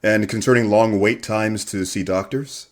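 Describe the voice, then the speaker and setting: A man acting as a local radio reporter in a radio play. His voice sits fairly low, and he comes across as courteous and earnest, putting his questions in a measured manner. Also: steady tone, natural voice. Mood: earnest, challenging. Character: polite, earnest male local radio interviewer. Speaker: actor in an audio drama. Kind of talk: radio theater acting, news interview questions.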